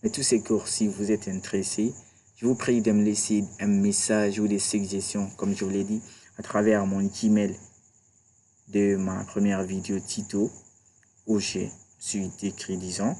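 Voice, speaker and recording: A man's voice: on the low side, little pitch movement, measured pace, mellow. A man teaches a screen-recorded computer tutorial, chatty and repeating small checks with his viewers. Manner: chatty, repeats "d'accord" and "voilà". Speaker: IT tutorial instructor.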